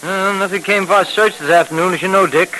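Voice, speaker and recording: A man acting a loyal, anxious detective's partner with a hurried, fretful manner in a 1930s radio serial. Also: high voice, fairly even intonation, bright, forceful tone, rough recording. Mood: companionable. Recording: hissy 1930s radio recording.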